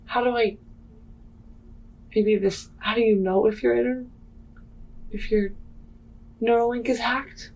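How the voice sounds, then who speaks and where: A woman's voice: on the high side, fairly even intonation, a little slow, creaky, long pauses, a little mellow, muffled recording. A woman playing a tabletop role-playing game, improvising in character in a hesitant manner. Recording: music behind the voice. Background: music.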